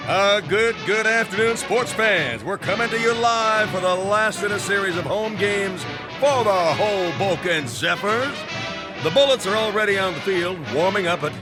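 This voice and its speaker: An actor playing a peppy, folksy American baseball play-by-play announcer in a radio drama. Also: male voice, high voice, normal speed, over music, lightly acted. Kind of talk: sports commentary in radio drama.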